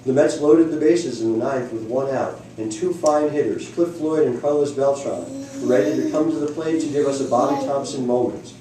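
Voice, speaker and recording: A man's voice: medium pitch, normal speed, few pauses, warm tone. A man reading a prepared essay aloud to an audience. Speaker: reader at a library book reading. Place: indoor room at a public library event. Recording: echoey room, distant mic, faint noise.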